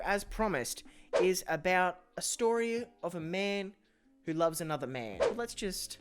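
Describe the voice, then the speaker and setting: A man's voice: a little high, a bit bright. A man hosting a reaction video, commenting casually and jokingly with sarcastic, cheeky asides.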